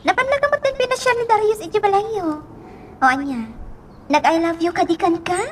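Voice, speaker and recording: A woman acting a feisty, hot-tempered young office worker in a radio drama, with an expressive, emphatic delivery. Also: very high voice, brisk. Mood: teasing. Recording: off-mic drama voice over music.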